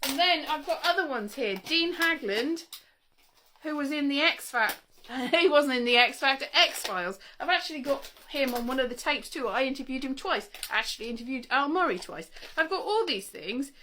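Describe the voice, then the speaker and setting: A woman with a high-pitched, bright voice speaks in an informal vlog monologue. She is chatty and wry, wandering from one thought to the next and cutting herself off as she goes. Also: expressive, moderate pace, a little hiss or hum. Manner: chatty, wry, self-interrupting. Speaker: vlogger showing old interview tapes. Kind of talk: casual rambling monologue to camera.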